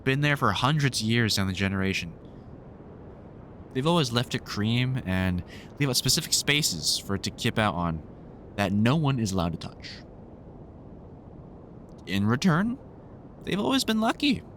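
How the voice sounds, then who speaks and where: A man's voice: medium pitch, big pitch swings, moderate pace, long pauses, fairly bright tone, a little hiss or hum. A man playing a sardonic, protective vampire hunter in a roleplay audio drama, sweary and teasing like an exasperated but fond mentor. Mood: explaining fondly.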